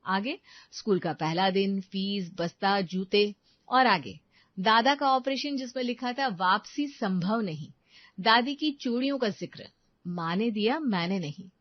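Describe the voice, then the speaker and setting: A woman's voice: mid-range voice, expressive, a little slow, no room sound, dull audio. Woman storyteller, very dry recording. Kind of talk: scripted dramatic narration of a moral story.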